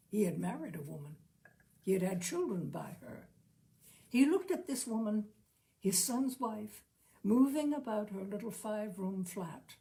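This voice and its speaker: A woman storyteller with a creaky, gravel-edged voice at a medium pitch. She reads literary fiction expressively, phrasing each sentence with measured care. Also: warm tone. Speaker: audiobook reader, storyteller.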